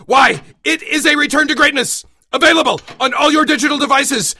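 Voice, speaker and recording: A woman's voice: sits high, brisk, pushed and bright, a little hiss or hum. A woman playing an exuberant, theatrical mad doctor who hosts a parody pulp radio show. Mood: gleeful, bombastic. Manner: theatrical, exclamatory.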